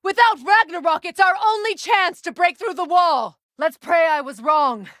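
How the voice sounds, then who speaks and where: A woman voicing a proud, measured Norse warrior goddess in a dramatic video game, with formal, deliberate and dry wording. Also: extremely high pitch, a bit bright, dry recording. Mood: urgent battle shouting.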